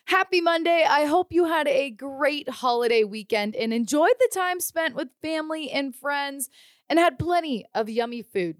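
A woman's voice: sits high, clear, resonant tone, no room sound, studio quality. A woman hosting a sports show, delivering a scripted recap of the action.

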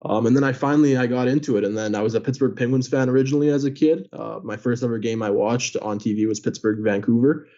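A young man born in Greece chats easily as an interview guest, filling his answers with ums and likes. His voice sits at an ordinary middle pitch. The audio comes through a little dull and muffled. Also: fairly even intonation, fast, hardly any pauses, mellow. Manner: chatty, many filler words. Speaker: guest, hockey player and sports news account runner.

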